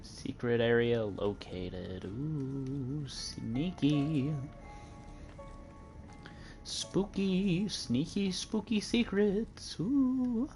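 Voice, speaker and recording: A man's voice: on the high side, expressive, drawn-out words, a little mellow, soft background music. A young man with a Midwestern American accent giving playful, giggly commentary while streaming a game. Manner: playful, giggly, joking with chat. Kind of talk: casual gameplay commentary.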